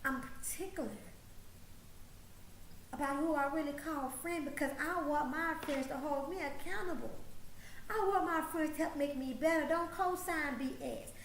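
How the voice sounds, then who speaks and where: A woman's voice: sits high, moderate pace, a little hiss or hum. A woman in her late forties hosting a talk, who lectures emphatically and repeats her points for stress. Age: 40s. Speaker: host. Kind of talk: motivational talk show monologue. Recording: roomy echo, faint ambience.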